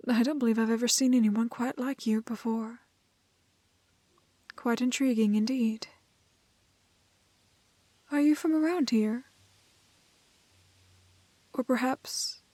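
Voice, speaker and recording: Young village maiden for romantic fantasy roleplay, acted by a woman: soft, highish, dry close sound, gentle and curious, quaintly courteous with hesitant pauses. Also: dry recording. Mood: curious, awed. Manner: old-fashioned polite phrasing, halting, breathy hesitations. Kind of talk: romantic fantasy audio roleplay spoken to the listener.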